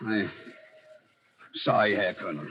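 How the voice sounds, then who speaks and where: An actor playing a fearful, subservient Nazi junior officer, hesitant and deferential, in 1940s radio drama style. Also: male voice, fairly low pitch, normal speed, natural voice. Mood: cowed, anxious. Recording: muffled, noisy 1940s radio recording.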